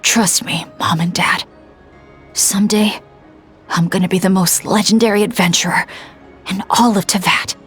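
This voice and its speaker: A woman voicing a brave, earnest adventurer boy in a video game, halting and full of emotion. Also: mid-range voice, steady tone, faint music behind, close to an everyday voice. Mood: determined.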